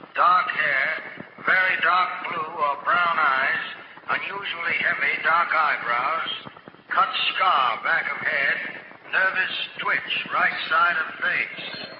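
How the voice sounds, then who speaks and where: An American man reading a 1940s police description as a clipped, matter-of-fact list. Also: a little high. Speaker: radio bulletin reader. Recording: noisy, dull old radio with echo.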